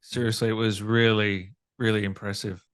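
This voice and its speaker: A man with a medium-pitched voice hosts an interview in a casual, conversational style. He speaks informally as he puts questions to his guest. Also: flat delivery, normal speed, a bit bright, smooth. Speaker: interview host.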